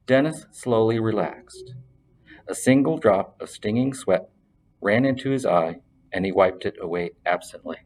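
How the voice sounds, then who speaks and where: Low-pitched man reading a story. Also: a little mellow, rough recording. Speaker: library reader and presenter.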